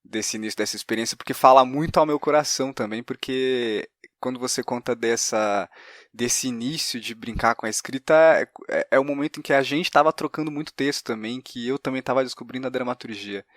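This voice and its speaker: A man's voice: mid-range voice, brisk, no echo, studio quality. A man with a Brazilian accent hosting a conversational interview, hesitantly searching for his words. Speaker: podcast host.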